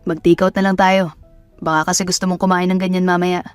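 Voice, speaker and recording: A woman's voice: lowish voice, little pitch movement, fairly quick, clean tone, no echo, faint music behind, natural voice. A woman voicing an earnest, guarded young man, a lover and father in a romance audio drama. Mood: matter-of-fact.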